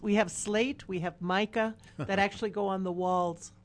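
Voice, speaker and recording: A woman, a designer appearing as a guest, explains her work in measured, articulate conversation. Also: medium pitch, steady tone. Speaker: interior and exterior designer, guest.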